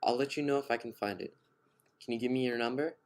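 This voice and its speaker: A man's voice: medium pitch, fast talker, voice pushed up, lightly acted. A man voicing a friendly young woman.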